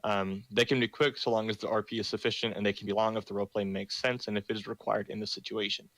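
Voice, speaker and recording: Young man, low flat voice, dry close mic, reads server rules over voice chat, lots of ums. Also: quick pace, no room sound, low-quality recording. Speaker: meeting host for a roleplay community. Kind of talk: reading rules aloud in a voice chat meeting.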